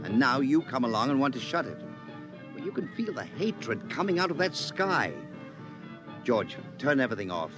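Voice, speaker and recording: A man's voice: mid-range voice, very animated, normal speed, lightly acted, low-quality recording. An American man acting a grave, frank child psychologist in a 1950s radio drama. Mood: grave warning. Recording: vintage radio recording with music behind.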